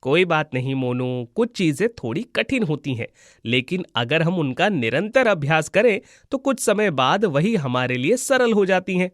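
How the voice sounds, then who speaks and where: Man as a gentle young god in cartoon. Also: on the high side, moderate pace, dry recording, studio quality. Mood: kindly. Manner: calm, kindly, reassuring. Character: gentle, wise young god disguised as a schoolboy. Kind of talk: Hindi moral-story cartoon voice acting.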